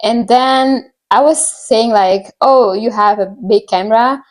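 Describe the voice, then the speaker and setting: Indonesian-accented woman, halting casual storyteller. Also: on the high side. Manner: halting, searching for words, repeats phrases. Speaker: vlogger. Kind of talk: casual personal storytelling to viewers.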